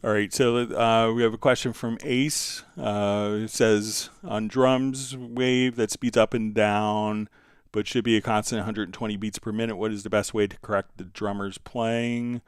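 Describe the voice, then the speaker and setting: A man with a fairly low voice hosts a software lesson, explaining each step casually as he does it. He is recorded close and dry, with very little room sound. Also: fairly even intonation, a little slow, smooth, no echo. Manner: talks through each step as he works. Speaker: host answering viewer questions on a software tutorial stream.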